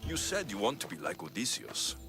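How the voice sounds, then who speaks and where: Cocky Greek mercenary, music behind. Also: male voice, on the low side, wildly swooping pitch, brisk. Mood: teasing. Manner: bold, smooth-talking, teasing. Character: cocky, flirtatious male Greek mercenary hero. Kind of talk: video game cutscene acting.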